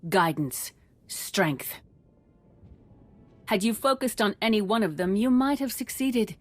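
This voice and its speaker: An actress playing a wise, defiant Jedi master in a video game, composed and measured. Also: female voice, fairly low pitch, no echo. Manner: measured, assured. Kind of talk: dramatic video game acting.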